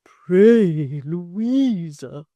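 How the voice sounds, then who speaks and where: A woman reading an audiobook, voicing a timid, tearful spinster whose speech is soft, faltering and pleading. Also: lowish voice, very animated, slow pace, dry recording, pitched above the performer's own voice. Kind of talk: audiobook character voice.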